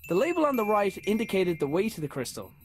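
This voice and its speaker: A man playing a curious young father searching for his missing dad in an adventure game, narrating in a measured, reflective, understated way. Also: high voice, very animated. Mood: pensive. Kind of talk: reflective scripted game narration in past tense. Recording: voice under loud music. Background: loud game music.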